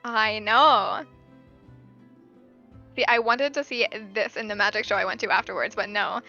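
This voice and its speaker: A young woman chatting casually over gameplay, in halting phrases that often repeat. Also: medium pitch, steady tone, sharp tone, no room sound. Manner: hesitant, halting phrasing with repetitions. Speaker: gamer commentator. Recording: dull, lo-fi voice chat with music.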